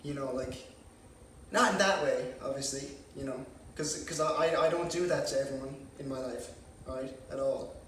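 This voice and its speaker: A man's voice: medium pitch, normal speed, fairly bright tone, natural voice. A young man playing an awkward, defensive student candidate in a mockumentary sketch, stammering and over-explaining himself. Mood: flustered. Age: young adult. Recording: echoey room, distant mic, faint noise.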